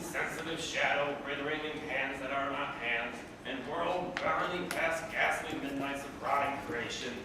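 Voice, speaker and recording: A man giving a theatrical, mock-solemn comic reading of literature to a live audience. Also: on the high side. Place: echoey venue before a live audience. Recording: very echoey room, distant mic, noisy.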